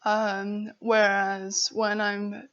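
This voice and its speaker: American lady vlogging, really slow n halting w a stutter, kinda giggly, muffled lo-fi sound. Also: mid-range voice, monotone, fairly bright tone. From Arizona, United States. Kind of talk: casual chat to camera.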